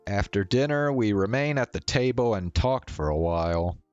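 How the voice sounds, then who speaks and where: A man reading a visual novel aloud as first-person narration, in a measured, conversational way. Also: fairly low pitch, expressive, darkish tone, smooth, muffled recording, soft background music. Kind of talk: first-person story narration with acted dialogue.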